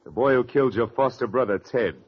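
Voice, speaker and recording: An actor playing a hard-boiled, taunting government investigator in a 1940s radio drama, clipped and tough. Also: male voice, medium pitch, brisk, natural voice. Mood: coolly triumphant. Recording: dull vintage radio recording.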